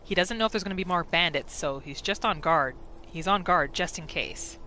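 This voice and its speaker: A woman's voice: fairly low pitch, moderate pace, pushed and bright, soft background music. A woman hosting a casual game roleplay playthrough, narrating her character's thoughts in the third person with little asides. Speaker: gaming channel host.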